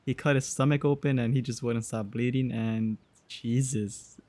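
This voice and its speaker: A man's voice: medium pitch, steady tone, normal speed, darkish tone. Young man, gaming commentator, middle register, casual sweary slang and thinking-aloud reactions. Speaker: gaming streamer.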